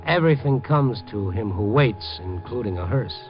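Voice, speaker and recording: An actor playing a streetwise American newspaper reporter who acts and narrates in a 1950s radio crime drama, wry and brisk. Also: male voice, fairly low pitch, lively intonation, over music, lightly acted. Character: hard-boiled male newspaper reporter, wry, wary, streetwise. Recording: muffled old radio recording.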